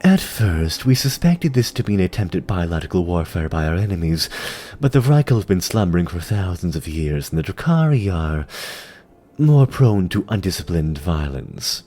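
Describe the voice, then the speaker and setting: A man's voice: low voice, big pitch swings, normal speed, warm tone. Man putting on a sly female spider vizier. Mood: brisk, courteous. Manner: refined, wry, courteous. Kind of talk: fantasy audio drama acting.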